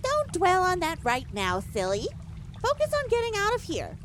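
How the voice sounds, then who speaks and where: An extremely high, lively female inner voice teases and reassures in an audio drama. It sounds perky and playful, with clear watery noise behind it. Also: moderate pace, heavily acted. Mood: chirpy reassurance. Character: perky, teasing female voice inside a pony's head.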